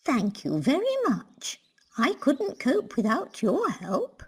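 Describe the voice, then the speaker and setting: A woman playing a frail, kindly old grandmother in a children's cartoon, with a breathy, sing-song voice. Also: sits high, wildly swooping pitch, takes it slowly, noisy background, full character voice. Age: older. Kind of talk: children's cartoon storybook acting.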